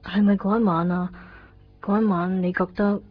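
A wistful young woman in love played by a female actor in a radio drama, innocent and confiding, with a medium pitch. The recording is slightly dull, with music playing underneath. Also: fairly even intonation, moderate pace, lightly acted. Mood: confiding, anxious. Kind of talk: Cantonese radio drama narration and dialogue.

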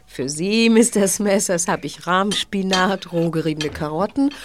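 A woman's voice: on the low side, a little slow, a little hiss or hum, lightly acted. Wry, composed innkeeper played by a woman. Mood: wry, calm. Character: dry, matter-of-fact woman innkeeper. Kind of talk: audio drama acting.

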